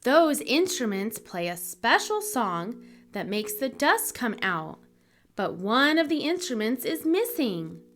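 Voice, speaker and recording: A woman's voice: a little high, very animated, slow, a bit bright, full character voice. A woman voicing an observant, eager little girl hero in a children's storybook read-aloud.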